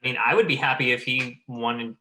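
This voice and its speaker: A man's voice: mid-range voice, brisk, sharp tone, rough recording. A man chatting casually on a panel, speaking emphatically and repeating phrases for stress.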